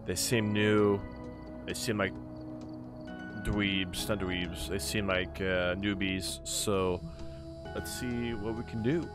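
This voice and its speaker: A man's voice: on the low side, steady tone, slow pace, long pauses, music in the background. A young man doing casual, sweary gaming commentary as a streamer. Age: young adult. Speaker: video game streamer. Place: indoor room, seated at a desk. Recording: game audio behind.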